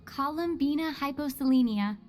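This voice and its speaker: A woman plays a young adventurer heroine in a video game, with a high, youthful voice. She sounds earnest, loyal and determined, with faint music underneath. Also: moderate pace, natural voice. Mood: tender, glad.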